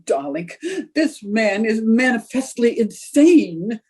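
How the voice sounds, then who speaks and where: A woman acts a haughty kept lady in an old-style radio mystery. Her high, breathy voice swoops about with theatrical disdain. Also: expressive, slow pace, a little mellow, full character voice. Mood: indignant, disdainful. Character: haughty, kept glamorous lady, the engineer's mistress. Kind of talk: old-time radio drama re-creation.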